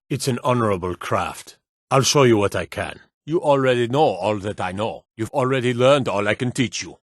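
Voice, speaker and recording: An actor playing a gruff, proud Nord guard in a fantasy video game, blunt and curt with folksy rustic phrasing. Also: male voice, mid-range voice, no room sound, studio quality, natural voice.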